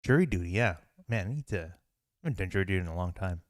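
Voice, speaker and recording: A man's voice: low voice, lively intonation, quick pace, no echo, clean studio sound. A man co-hosting a podcast in casual chat, rambling with lots of fillers and restarts. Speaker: podcast co-host.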